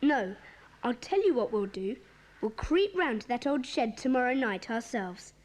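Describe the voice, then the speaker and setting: A woman playing a bossy, excitable schoolboy club leader in a children's audio drama, with a commanding, eager manner. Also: fairly high pitch, a little slow.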